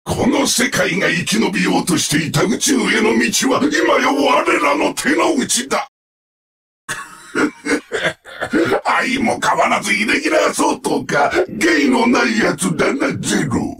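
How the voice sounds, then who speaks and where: An actor playing a sadistic, mocking combat-robot villain in a Japanese video game, with sneering, theatrical, drawn-out taunts. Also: male voice, very high-pitched, sing-song, raised voice, distant mic, crowd noise, full character voice. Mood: taunting.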